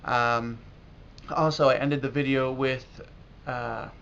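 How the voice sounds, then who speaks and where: An American man talking casually and reflectively in a video blog as a language learner, halting now and then with pauses. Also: mid-range voice, steady tone, fairly bright tone. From United States. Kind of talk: casual talk to camera about learning. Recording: dull lo-fi audio with clear noise.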